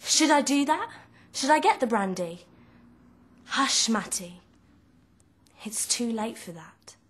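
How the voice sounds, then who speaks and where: Anxious young serving girl, breathy husky voice, dramatic novel reading. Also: fairly high pitch, expressive, slow pace, exaggerated voice. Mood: alarmed, urgent. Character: anxious young hotel serving girl telling her story.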